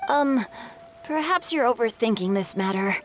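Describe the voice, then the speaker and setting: Game role: earnest, well-read teen boy female actor, lightly high voice, articulate, mild, faint music underneath. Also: animated, moderate pace, lightly acted. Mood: sheepish, earnest. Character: polite, bookish teenage boy, earnest and evasive. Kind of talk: video game character dialogue.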